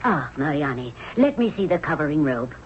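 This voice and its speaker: An actress playing a commanding, motherly middle-aged queen of Egypt, brisk and fussing, in a radio drama. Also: low voice, big pitch swings. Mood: brisk, proud. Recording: dull, noisy old radio broadcast.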